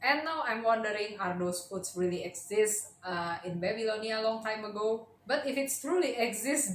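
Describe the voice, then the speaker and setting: A woman giving casual commentary as the host of a reaction video. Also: medium pitch. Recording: roomy echo, noticeable background noise.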